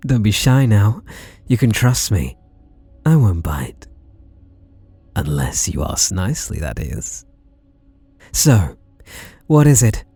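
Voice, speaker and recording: A British man plays a masked slasher with an obsessive, possessive streak, made for intimate ASMR roleplay. He is close and dry, drawing his words out slowly. The pitch swoops widely as he teases and flirts with soft menace. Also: no room sound. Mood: gloating, playful threat. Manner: teasing, softly menacing, drawn-out delivery with sudden swoops.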